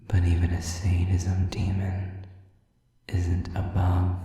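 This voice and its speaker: A man playing a sadistic, predatory demon in an intimate audio roleplay, taunting with mock politeness and breathy, drawn-out menace. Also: low-pitched, dark tone, heavy reverb, far from the mic, heavily acted. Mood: contemptuous, predatory. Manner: slow, drawn-out, mockingly polite, breathy whispers.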